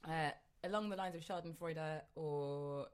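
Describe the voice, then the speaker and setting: A woman's voice: low voice, unhurried. A woman co-hosting a podcast, chatting playfully with wordy, jokey turns of phrase. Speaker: podcast co-host. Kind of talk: casual chat.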